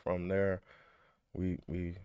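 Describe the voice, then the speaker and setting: Deep-voiced man, rambling. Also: monotone, slow, a loud crowd. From Georgia, USA. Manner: rambling, hesitant, many fillers. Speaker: guest, former soldier. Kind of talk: casual interview chat.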